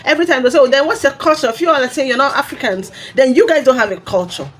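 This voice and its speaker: A woman's voice: high voice, racing, husky. A woman hosting her channel, introducing a commentary video in an emphatic, exhorting way. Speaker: channel host.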